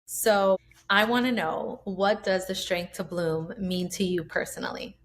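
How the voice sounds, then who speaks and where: A woman hosts a podcast interview, speaking in a warm, affirming way. She reflects aloud and asks questions with a conversational ease. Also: mid-range voice, a little slow. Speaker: podcast host.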